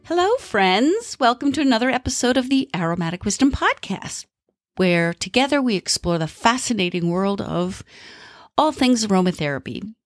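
A woman's voice: a little high, big pitch swings, moderate pace, soft background music. A middle-aged woman hosting an aromatherapy podcast, sounding a little nasal from the tail end of a cold, chatting and teaching. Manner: chatty, warm asides, then reads definitions. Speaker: podcast host.

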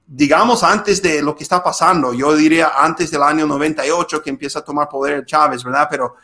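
Man, from the United States, podcast host, casual interviewer, friendly, filler words, slightly high voice. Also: brisk. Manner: friendly, relaxed questioning with many fillers.

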